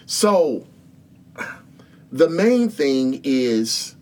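A man's voice: medium pitch, sing-song, extremely slow, a little mellow. A man in his sixties speaking as a guest in an interview about faith, earnest and preacherly. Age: 60s. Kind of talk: spiritual interview talk.

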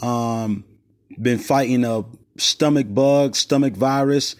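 Raspy guy doing his sports podcast off his phone, rambles a lot, lots of ums and restarts, mid pitch. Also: takes it slowly. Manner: loose, rambling, many fillers and restarts. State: raspy from a stomach virus. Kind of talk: casual sports talk. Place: not in the studio. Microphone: cell phone.

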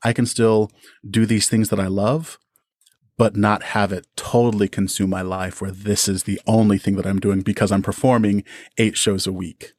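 A man's voice: fairly low pitch, little pitch movement, moderate pace, darkish tone, no room sound, clean studio sound. A man speaking as a podcast guest, giving casual, thoughtful explanations as a creative artist. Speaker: interview guest, audio drama creator. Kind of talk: casual, reflective interview answers.

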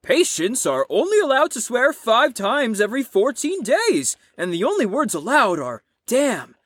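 A woman's voice: fairly high pitch, sing-song, normal speed, slight background noise. A woman playing a brash, rebellious young slacker in an audio drama, teasing and sarcastic in slangy speech. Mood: mocking.

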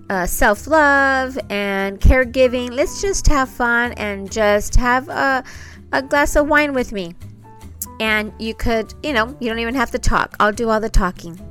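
A woman host casually telling personal stories in a chatty, meandering, excitable way. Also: fairly high pitch.

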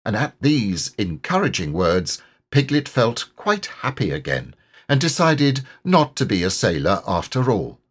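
Animated male storybook narrator, slightly husky. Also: on the low side. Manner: expressive, measured. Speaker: audiobook reader.

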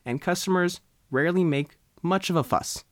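A man's voice: high voice, normal speed, no echo, clean studio sound. An American man reading a scripted news article aloud as a reporter.

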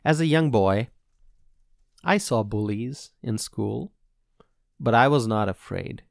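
A man from Bangladesh speaks slowly and deliberately on his own podcast, his voice carrying a gravelly edge. The recording is dry and close, with no room sound. He makes his points earnestly and circles back to them for emphasis. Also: lowish voice, expressive, no room sound. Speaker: podcast host. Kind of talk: solo commentary on public policy.

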